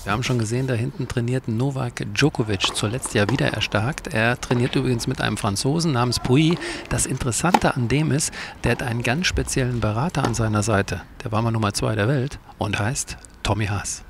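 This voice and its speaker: Chatty reporter guy, gravelly edge. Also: medium pitch, a little fast, music in the background. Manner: chatty, colloquial, addresses viewers directly. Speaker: presenter of a weekly tennis web show. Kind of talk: casual on-location reporting to camera. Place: outdoors at a tennis tournament. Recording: clearly noisy outdoor location sound.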